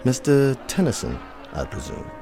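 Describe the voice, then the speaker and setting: An actor playing a genteel, exacting older colonel in a radio drama, formal, measured and patronizing. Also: male voice, medium pitch, huge pitch swings, music in the background.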